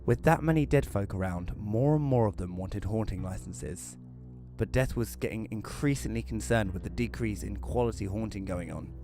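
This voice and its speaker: Low-voiced male storyteller, soft music behind. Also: normal speed, close to an everyday voice. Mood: wry, matter-of-fact. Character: Rory, casual storyteller befriending a ghost. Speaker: narrator. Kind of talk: scripted first-person narration.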